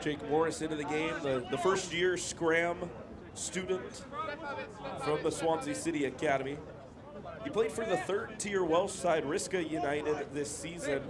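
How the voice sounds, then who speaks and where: A young American man calls a live football match in a quick, chatty way, turning now and then to his co-commentator. His voice sits a bit high, and loud crowd noise from the outdoor ground runs under it. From United States. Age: young adult. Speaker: play-by-play commentator.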